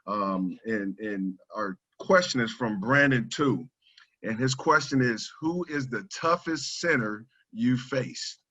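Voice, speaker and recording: A man coaching a camp joins a video-call Q&A, laid-back and easygoing. His voice is bright and forceful, though the call audio sounds dull and lo-fi. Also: mid-range voice, slow pace, slightly rough. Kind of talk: casual virtual Q&A.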